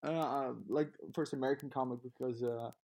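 A man from Europe, a guest recalling comics in a halting way with many restarts, laughing easily. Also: mid-range voice, steady tone, darkish tone. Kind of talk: casual interview chat.

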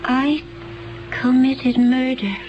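An actress playing a detached, otherworldly young woman in a radio drama, halting and formal as if in a trance. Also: sits high, steady tone, faint music behind. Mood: dazed, wondering. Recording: dull old radio sound.

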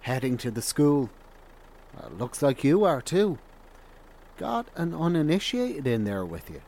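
A man's voice: a little high, big pitch swings, a little slow, darkish tone, exaggerated voice. A man playing a shrewd roadside wanderer in an audio drama, with a knowing, sardonic drawl. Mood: knowing, candid. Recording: faint car engine noise.

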